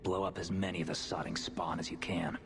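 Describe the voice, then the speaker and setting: A man playing a gruff, proud dwarf in a video game, with a hearty, blunt manner. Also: sits low, flat delivery, fairly quick. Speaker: dwarf explosives expert in a fantasy game. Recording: noisy, echoing cavern ambience.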